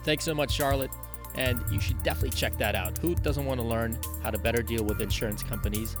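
A man's voice: medium pitch, steady tone, fast talker, long reverb tail, music in the background. A man hosting a podcast, reading sponsor spots and making announcements in an upbeat, chatty way. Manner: upbeat, chatty promo delivery. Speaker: podcast host. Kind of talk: casual podcast ad reads and announcements.

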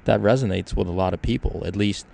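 A gravelly, somewhat low-voiced man from Ukraine hosts a casual discussion. He talks things through conversationally and leans on everyday examples to make his points. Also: fast, mellow, slight background noise. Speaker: podcast host.

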